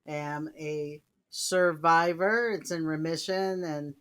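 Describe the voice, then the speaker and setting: Low-voiced Puerto Rican-born woman, chatting. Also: steady tone, takes it slowly, a little hiss or hum. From Puerto Rico. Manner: conversational and heartfelt, with frequent "you know". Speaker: podcast co-host. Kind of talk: casual podcast chat.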